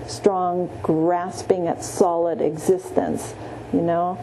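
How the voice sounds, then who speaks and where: A woman teaching a meditation class in a gentle, halting way, often pausing and adding "yeah.". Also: on the low side, level tone, slight background noise, poor audio. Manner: gentle, many pauses and restarts, frequent "yeah". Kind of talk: guided Buddhist meditation teaching. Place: meditation room.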